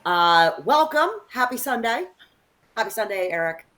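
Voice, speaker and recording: A woman co-hosting an unscripted political discussion, speaking in an emphatic, opinionated way and halting as she gathers her thoughts. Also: on the high side, animated, fairly bright tone. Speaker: co-host of a political discussion show.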